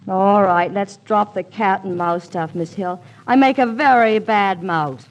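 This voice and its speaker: An actress playing a plucky, wry young romantic heroine in a 1940s radio comedy, with a dry delivery. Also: female voice, medium pitch, measured pace, muffled recording, close to an everyday voice. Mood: wry, resigned.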